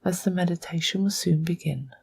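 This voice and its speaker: A woman with a soft, breathy, whispery voice narrates a guided meditation in a hushed, measured manner, leaving long pauses between short phrases. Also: fairly low pitch.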